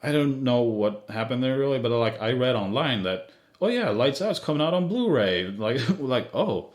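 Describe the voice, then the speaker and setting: A man's voice: medium pitch, lively intonation, moderate pace. A man with a Swedish accent, a film director talking casually through a commentary track, hesitant with many fillers and restarts. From Sweden.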